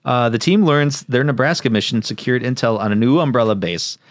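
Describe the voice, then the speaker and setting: A man's voice: medium pitch, a little fast, no room sound. A man co-hosting a podcast, recapping stories in casual comedic banter with swearing and frequent laughter. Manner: profane, joking, laughs often. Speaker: podcast co-host.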